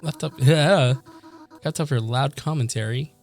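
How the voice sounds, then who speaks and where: Male game streamer, medium pitch, reads visual novel text aloud, trips over words and chuckles, faint music behind. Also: takes it slowly, gravelly, darkish tone. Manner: chuckles, stumbles over words and corrects himself. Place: streaming setup indoors.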